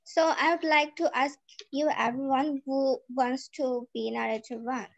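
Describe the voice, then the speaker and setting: A woman's voice: high-pitched, steady tone, unhurried, gravelly. A teenage girl with a Bangladeshi accent hosting an online group reading over a video call. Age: teens. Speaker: session host. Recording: dull video-call audio.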